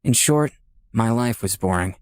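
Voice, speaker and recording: A man's voice: mid-range voice, animated, measured pace, smooth, natural voice. An actor playing a wry, self-deprecating dead young artist who narrates his afterlife in a casual storytelling way for a visual novel. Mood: dry, bored. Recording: music playing behind the voice.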